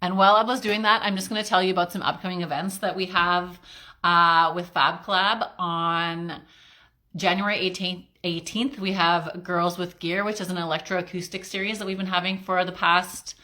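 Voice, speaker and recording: A woman's voice: fairly low pitch, fairly even intonation, moderate pace, pushed and bright. Chatty woman hosting a video call interview. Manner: chatty, friendly, with frequent um and uh fillers. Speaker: interview host. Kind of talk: casual online interview.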